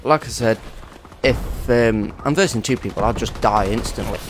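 Stuffy-nosed gamer lad rambling. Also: male voice, medium pitch, normal speed, background noise. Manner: rambling, chatty, swears at opponents. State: congested with a cold. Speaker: gaming YouTuber. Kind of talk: casual gameplay commentary.

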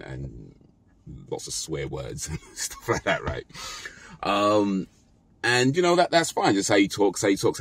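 A British man in his thirties talking casually to his phone in a parked car, relaxed and rambling with fillers and self-corrections. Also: deep voice, slightly rough. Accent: British English. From Britain. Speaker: vlogger. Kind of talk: casual talk to camera. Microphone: phone camera held close.

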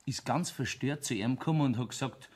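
Man acting bavarian locksmith in a radio play, raspy mid voice, sly and chatty, stumbles over words. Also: medium pitch, fairly quick, a little mellow, lightly acted. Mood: conspiratorial. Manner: hesitant, stammering, insinuating. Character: sly chatty male locksmith, teasing pub regular.

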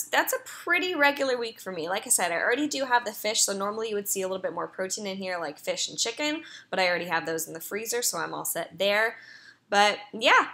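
Bright, chatty young woman. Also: medium pitch. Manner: chatty and upbeat, with filler ums and uhs. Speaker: personal trainer. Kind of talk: casual voice-over walkthrough.

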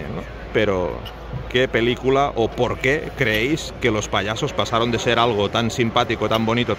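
Man in his 40s from Spain, low-ish voice, vlogger, phone held at arm's length, noisy market around him. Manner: chatty, asks viewers questions, jokes about his English. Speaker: vlogger, flea market dealer. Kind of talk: casual vlog chat to camera. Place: large covered flea market, outdoors. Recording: noisy open-air market background.

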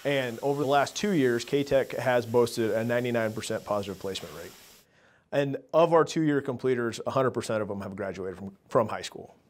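A middle-aged man, a school administrator, speaking in a measured, promotional way in a documentary interview. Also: mid-range voice. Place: indoor office.

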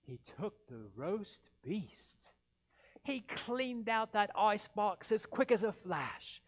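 Male storybook reader, forties. Slightly high voice, very unhurried, dramatic pauses, swooping expressive pitch. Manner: dramatic, deliberate pauses between phrases. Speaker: pastor reading a children's story. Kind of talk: theatrical children's storybook reading. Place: indoors in a church by a Christmas tree. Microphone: headset mic.

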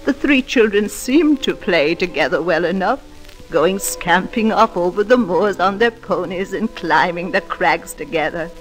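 Solemn old housekeeper, low-key storyteller. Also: female voice, expressive, low-quality recording. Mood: solemn, reminiscing. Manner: deferential, solemn, measured. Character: weary, grave old woman housekeeper telling a tragic tale. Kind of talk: 1940s radio acting. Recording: old radio broadcast with music behind.

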